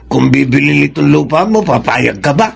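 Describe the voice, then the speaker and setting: A man's voice: on the high side, animated, moderate pace, creaky. An actor playing a wary, cautioning older Filipino village father in a radio drama. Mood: concerned, gossiping.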